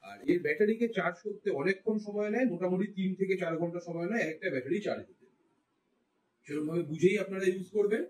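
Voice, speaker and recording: A man's voice: sits high, quick pace, darkish tone. An Indian man with a Bengali accent giving a casual product review, explaining things to viewers and mixing in English phrases. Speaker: tech reviewer, vlogger. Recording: roomy echo, distant mic.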